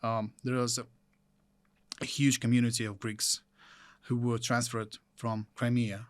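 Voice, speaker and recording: Halting Ukrainian-accented man. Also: mid-range voice, little pitch movement, no room sound. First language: Russian. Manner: halting, many restarts and fillers. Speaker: military reporter, guest. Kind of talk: conversational interview answers. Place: studio.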